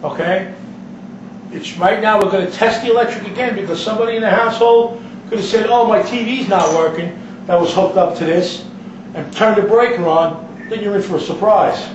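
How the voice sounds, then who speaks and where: A man's voice: sits high, fairly quick. A man giving a plain-spoken, step-by-step hands-on plumbing demonstration as an instructor. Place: indoors in a home kitchen. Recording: roomy echo, distant mic, dull lo-fi, noisy.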